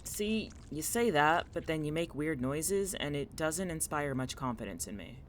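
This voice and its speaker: A man's voice: fairly high pitch, lively intonation, moderate pace, a bit bright, slight background noise. A man playing a guarded, irritable but protective young student in an audio drama, speaking in a blunt, dry, terse way. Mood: dry concern.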